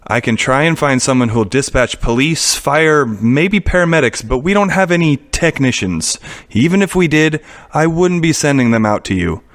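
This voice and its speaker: An actor playing a long-suffering, matter-of-fact emergency hotline operator in a scripted audio comedy. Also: male voice, on the high side, lively intonation, moderate pace, no echo, clean studio sound, close to an everyday voice. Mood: weary patience. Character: weary, patient male emergency hotline operator.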